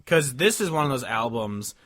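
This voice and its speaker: A male podcast co-host with a mid-pitched voice talks casually about music. His delivery is informal and jokey, rambling from one thought to the next. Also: very animated, moderate pace, a bit bright.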